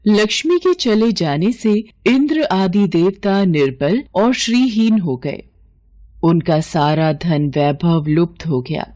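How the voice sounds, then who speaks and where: A woman's voice: fairly low pitch, sing-song, slow pace, dark tone. A woman narrating a scripted mythological tale as a storyteller.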